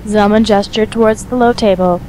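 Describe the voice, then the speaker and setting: A woman's voice: medium pitch, fairly even intonation, fairly quick, warm tone, a little hiss or hum, rough recording. A woman reading scripted story narration as the narrator of an audio drama.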